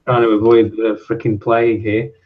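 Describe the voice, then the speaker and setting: A man's voice: lowish voice, completely flat delivery, moderate pace, narrow, tinny audio, slight background noise. Easygoing man hosting a casual chat. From Perth, Australia. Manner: easygoing, filler-heavy. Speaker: host.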